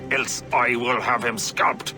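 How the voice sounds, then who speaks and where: A man playing a suave, menacing, haughty gentleman villain in a video game, with pompous, theatrical delivery. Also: mid-range voice, level tone, slow pace, music in the background, natural voice.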